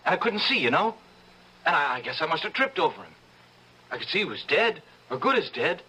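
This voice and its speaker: An actor playing a panicky thirty-year-old ex-convict in an old-time radio drama, stammering and pleading in a raspy streetwise way. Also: male voice, on the high side, big pitch swings, fairly quick, bright, forceful tone, full character voice, low-quality recording. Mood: nervous, defensive.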